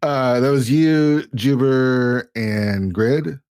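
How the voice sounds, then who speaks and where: A man hosting a casual podcast interview, relaxed and encouraging, often repeating words. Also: mid-range voice, drawn-out words, clean tone, dry recording, clean studio sound. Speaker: podcast host.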